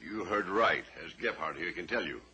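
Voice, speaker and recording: An actor playing a blunt, stubborn rancher and father who talks gruffly and plainly in a 1950s radio drama. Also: sits low, lively intonation, normal speed, pushed and bright, heavily acted. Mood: angry. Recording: old radio recording with faint hiss.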